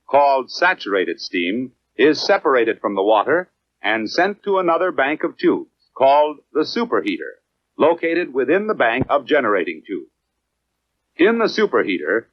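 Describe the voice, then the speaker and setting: An American man reads scripted 1950s educational film narration in a measured, clearly enunciated, instructional manner. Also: on the high side, dry recording. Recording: dry, dull lo-fi 1950s film soundtrack.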